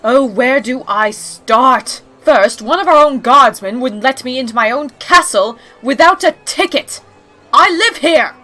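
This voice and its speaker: A woman's voice: sits high, animated, measured pace, sharp tone, soft background music, exaggerated voice. A woman voicing a blunt, indignant younger-sister pony princess in a scripted audio drama, sarcastic, exasperated and emphatic.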